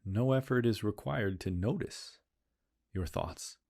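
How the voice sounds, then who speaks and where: A man leading a guided meditation, speaking in slow, soothing phrases and repeating gentle cues. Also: lowish voice, gravelly.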